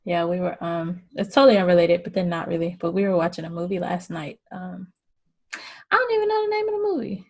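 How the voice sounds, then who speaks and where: A woman hosting a podcast in casual chat, relaxed and rambling. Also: fairly low pitch, quick pace. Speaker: podcast host.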